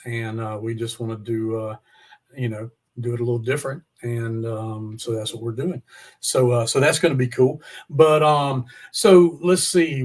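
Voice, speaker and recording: Male podcast host, medium pitch, informal and conversational, loose slangy phrasing with occasional mild cursing. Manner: informal, mild swearing, y'all. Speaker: podcast host interviewing a musician. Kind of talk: casual chat.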